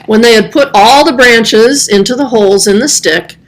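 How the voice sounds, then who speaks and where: A woman's voice: a little high, moderate pace, a little hiss or hum, low-quality recording. A woman reading a children's story aloud as scripted narration. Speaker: storybook reader.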